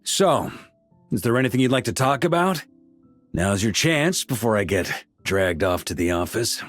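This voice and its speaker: An actor playing a relaxed, good-humored grandmaster knight, speaking in an easygoing, wry way. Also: male voice, fairly low pitch, moderate pace, soft background music. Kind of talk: game voice acting.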